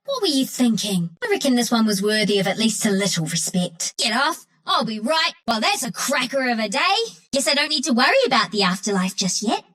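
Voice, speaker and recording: A woman's voice: a little high, very animated, fairly quick, fairly bright tone. A woman playing a cheeky, scrappy young woodland trickster creature in a video game, with a New Zealand accent and a brash, playful, slangy way of talking. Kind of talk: video game character voice lines.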